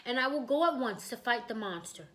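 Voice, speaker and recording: A woman's voice: a little high, animated, a little fast, fairly bright tone. A woman voicing a brave, proud storybook lion with a kingly, confident and declarative delivery. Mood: resolute.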